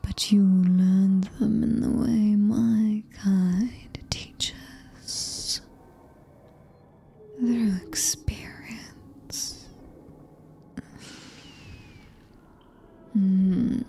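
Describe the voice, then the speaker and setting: A woman with a British accent voicing a possessive, menacing female alien-human hybrid in ASMR horror roleplay, breathy, deliberate and commanding, often whispering. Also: mid-range voice, warm tone, exaggerated voice. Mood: seductive menace.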